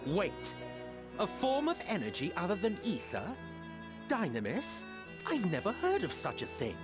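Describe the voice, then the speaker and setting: A man's voice: high-pitched, huge pitch swings, a little slow, heavily acted. An actor playing an inquisitive, skeptical man in a game cutscene, asking pointed questions. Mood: questioning. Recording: game audio with music behind.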